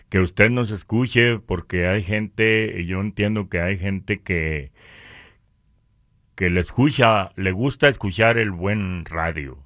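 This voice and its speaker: Deep-voiced Mexican man giving testimony. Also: a bit bright, no echo, studio quality. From northern Mexico. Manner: halting, repeats words and phrases. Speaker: radio guest giving religious testimony. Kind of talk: personal testimony, conversational.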